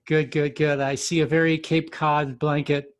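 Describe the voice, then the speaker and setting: A man's voice: on the high side, little pitch movement, normal speed. A man hosting a podcast, asking questions in a friendly, rambling way with many restarts. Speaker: podcast host. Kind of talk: casual interview hosting. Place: Florida.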